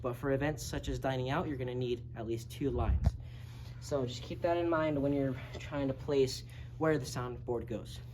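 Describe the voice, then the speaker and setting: Noisy amateur video, tutorial instructor, casual delivery, step-by-step explaining, medium pitch. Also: moderate pace, a little mellow. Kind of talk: hands-on tutorial demonstration. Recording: noisy amateur video recording, background noise.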